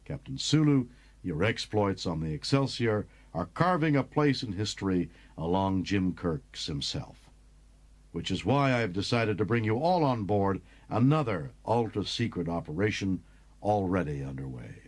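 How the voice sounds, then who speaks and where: A man's voice: low voice, expressive, moderate pace, husky, full character voice. A man playing a formal, authoritative admiral briefing officers in a science-fiction audiobook. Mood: formal praise. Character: authoritative, formal male admiral, smooth then stern.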